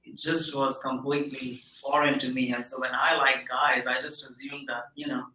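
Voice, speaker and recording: A man's voice: medium pitch, flat delivery, normal speed, pushed and bright, a little hiss or hum. A man in his thirties with an Indian accent, talking casually and reflectively about himself as an interview guest, with hesitant phrasing. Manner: reflective, hesitant phrasing with many "you know". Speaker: podcast guest, product manager. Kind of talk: casual interview, personal account. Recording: echoey room.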